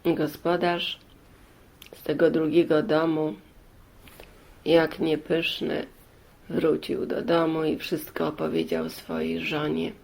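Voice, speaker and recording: A woman storyteller narrates a folk tale at a slow, deliberate pace. Her voice sits fairly low and has a gravelly creak. She leaves dramatic pauses as she goes. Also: darkish tone, slight background noise.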